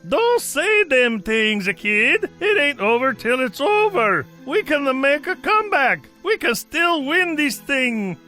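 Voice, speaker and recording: Timid, folksy cartoon sidekick, high register, unhurried delivery. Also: very animated, pushed and bright, faint music behind, heavily acted. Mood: encouraging. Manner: folksy slangy phrasing with some Spanish. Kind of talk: animated cartoon voice acting.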